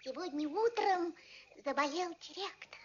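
A lovesick puppet poet boy in an old cartoon, extremely high and plaintive. The lines are drawn out and declaimed, over music on a muffled vintage soundtrack. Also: animated, measured pace, background noise. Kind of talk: Soviet animated fairy-tale film acting. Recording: dull old film soundtrack, loud music.

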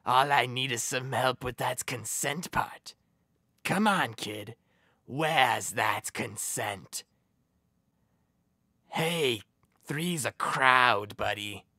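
A man's voice: mid-range voice, gravelly, sharp tone, dry recording, clean studio sound, pitched below the performer's own voice, exaggerated voice. A man voicing a creepy, lecherous hero parody in a cartoon voice audition.

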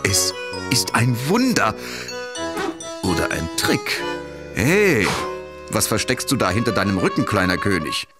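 A man playing a skeptical, nagging narrator and companion in a children's cartoon, expressive, teasing and admonishing. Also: fairly low pitch, unhurried, pauses often, music in the background.

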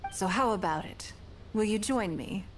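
An actress voicing a poised, wealthy female leader in a game, speaking in a measured, refined, self-assured way. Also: medium pitch, lively intonation, slight background noise, natural voice. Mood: composed. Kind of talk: game character dialogue.

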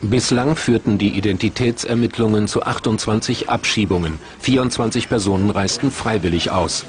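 A man reading the scripted narration of a television documentary in an even, measured way. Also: fairly low pitch, a little hiss or hum.

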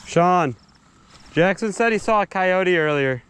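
A young man chatting casually and excitedly in bro-heavy slang while fishing outdoors. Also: high voice, unhurried, smooth. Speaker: vlogger angler. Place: outdoors beside a pond. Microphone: body-worn action camera.

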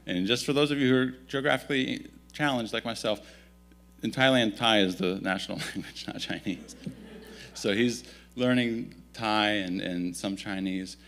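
A man in his thirties who grew up in Italy, giving a relaxed, self-deprecating missionary update in a church at a stand mic. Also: on the low side, moderate pace. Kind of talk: casual storytelling talk to a congregation. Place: church sanctuary, indoors. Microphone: stand microphone.

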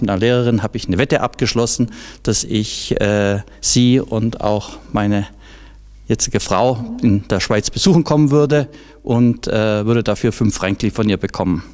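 A man's voice: fairly low pitch, a little mellow. A middle-aged man from southwest Germany telling anecdotes informally in an interview, into a handheld microphone. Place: large indoor hall.

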